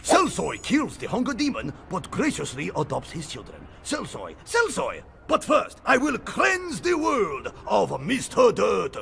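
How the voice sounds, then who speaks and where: An excitable little game mascot, very high-pitched and swooping wildly. He proclaims everything pompously in slow, stilted English, with a creaky edge. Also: slight background noise, full character voice. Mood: dramatic proclaiming. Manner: bombastic, over-enthusiastic, stilted phrasing. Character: excitable Japanese mascot creature guide, pompously dramatic, broken English. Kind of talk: video game cartoon voice acting.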